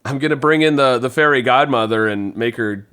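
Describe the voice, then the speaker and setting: A man talks casually as part of a podcast panel. His voice sits at a middle pitch, and he speaks in an informal, conversational way with the others. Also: fairly quick, sharp tone, clear, resonant tone.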